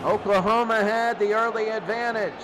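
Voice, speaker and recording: A man's voice: high voice, steady tone, normal speed, over music, rough recording. A man doing live football play-by-play in short, repetitive sentences, as the announcer. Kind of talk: live play-by-play sports commentary.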